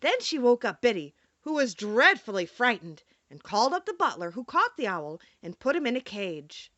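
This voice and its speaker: A woman narrating a children's storybook in an expressive, dramatic storytelling manner. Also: a little high, no room sound. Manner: animated, storytelling delivery with dramatic emphasis. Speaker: audiobook narrator.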